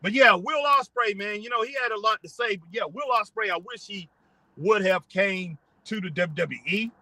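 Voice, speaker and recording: Bright, high-voiced chatty man. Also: normal speed, no echo. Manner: rambling, chatty, reads viewer comments aloud. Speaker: live stream host. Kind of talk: casual live-stream chat. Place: in his car.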